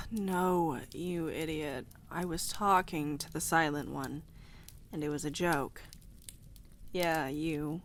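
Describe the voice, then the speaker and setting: Woman acting a creaky, bratty vampire deity. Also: deep voice, slow, a little hiss or hum. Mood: mocking, bored. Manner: flippant, slangy, impatient. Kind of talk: visual-novel audio drama.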